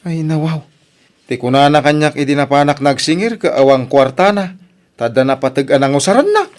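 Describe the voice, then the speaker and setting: A man's voice: on the high side, animated, brisk, natural voice. A man with an Ilocano accent acting a grumbling husband in a comedy radio drama. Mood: surprised, grumbling. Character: grumbling husband reacting to his wife's complaints.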